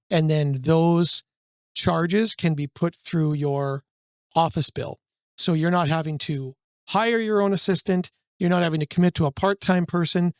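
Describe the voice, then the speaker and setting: Slightly hoarse man, higher voice, dry. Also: no echo. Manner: rambling, searching for words, many fillers. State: losing his voice from a cold. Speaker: brokerage podcast co-host. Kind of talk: casual business podcast chat.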